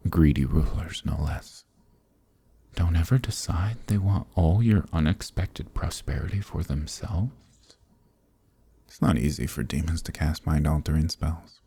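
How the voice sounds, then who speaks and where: An extremely deep-voiced archdemon, acted by a man, ancient and smug, speaks in a dark fantasy ASMR roleplay. The tone is dark and heavily gravelled, with a husky breath to it. Each phrase comes slowly, with condescending, dominant authority. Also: exaggerated voice. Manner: slow, deliberate, condescending, commanding.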